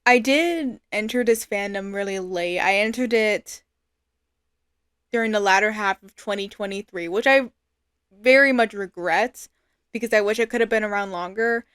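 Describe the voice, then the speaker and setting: A woman's voice: medium pitch, moderate pace, a bit bright, clear, resonant tone, no room sound, studio quality. A young adult woman giving an informal, earnest and reflective personal testimonial. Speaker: fan artist and creator.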